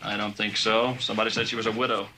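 A man's voice: on the low side, little pitch movement, quick pace, lightly acted. An actor playing an agitated sheriff's deputy in his thirties in a 1970s TV drama, brusque and defensive. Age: 30s. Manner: brusque, impatient. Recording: noisy old TV soundtrack.

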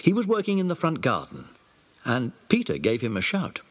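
Husky male storybook narrator, very animated delivery. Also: medium pitch, moderate pace.

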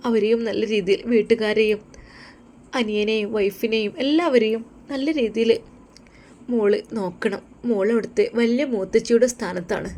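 A woman's voice: medium pitch, mellow, lightly acted. A woman voicing a devoted young wife who speaks in a sweet, earnest and pleading way.

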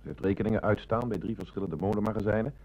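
A man plays a dutiful police detective in a radio play. His voice sits fairly low, and he reports to his superior in clipped, factual phrases. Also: racing, a little mellow, lightly acted, low-quality recording. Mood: factual, knowing. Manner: matter-of-fact, clipped reporting. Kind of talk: Dutch radio play acting.

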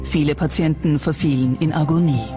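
A documentary narrator reading a script in a measured, serious manner. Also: medium pitch, steady tone. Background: music under the voice.

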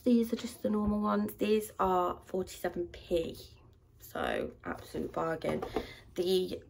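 Young woman vlogger with a deep, gravelly, fry-heavy voice, rambling chat, faint hiss behind. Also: a little mellow. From United Kingdom. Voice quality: low, gravelly voice. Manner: chatty and rambling, with many ums and likes. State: dry throat. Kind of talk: casual grocery haul chat. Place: at home.

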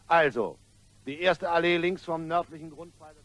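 An actor playing an apologetic but insistent gentleman blackmailer in a radio play, formal and hesitant. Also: a little high, moderate pace, loud music behind the voice, lightly acted. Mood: fumbling. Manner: polite, formal, hesitant, fumbling for words.

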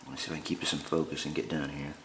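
A man giving a relaxed, plain-spoken DIY walkthrough in a garage, with lots of ums and uhs, recorded on a handheld camera. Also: extremely low pitch, rapid-fire, echoey room, slight background noise. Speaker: DIY builder showing his work. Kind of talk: casual how-to walkthrough. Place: indoor garage.

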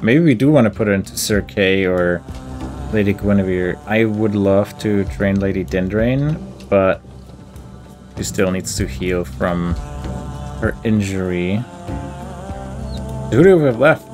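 Guy doing a let's play, lowish voice, slow, thinking out loud, faint music behind. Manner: deliberative, musing to himself. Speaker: video game let's play host. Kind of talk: casual thinking-aloud gameplay commentary. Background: faint game music.

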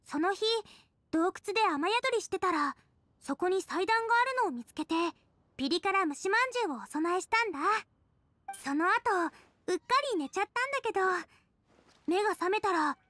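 A cheerful teenage cook in a Japanese game. Her girlish voice is very high and bright, bubbly and casual, recorded close and dry. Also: animated, a little fast, no echo. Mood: cheerful, reminiscing.

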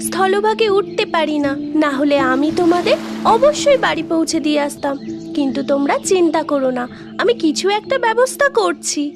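A woman voicing a kindly magical mermaid with a gentle, earnest manner in a fairy tale cartoon. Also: very high voice, lively intonation, normal speed, music in the background. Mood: concerned, reassuring. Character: kindly, helpful three-headed magical mermaid.

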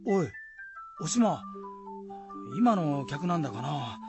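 A man's voice: fairly high pitch, sing-song, slow, over music, full character voice. Fretful, swooping rustic village man. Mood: pondering. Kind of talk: radio drama acting.

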